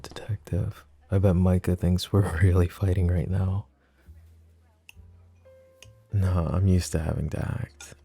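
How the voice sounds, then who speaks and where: A possessive mafia boss with a very low, gravelly voice and a dark, mellow tone, as a man acts him out. He teases with smug ease and turns cold when he threatens. Faint music sits under this audio drama. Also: heavily acted. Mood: intimate. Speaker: voice actor. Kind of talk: ASMR roleplay audio drama.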